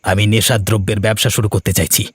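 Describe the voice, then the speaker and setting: A man's voice: on the low side, level tone, fast talker, husky, no echo, studio quality. A man voicing a greedy, menacing crook in a cartoon, with a taunting, threatening manner. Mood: greedy, scheming. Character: greedy, menacing male crook, a defiant younger brother. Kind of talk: cartoon voice acting.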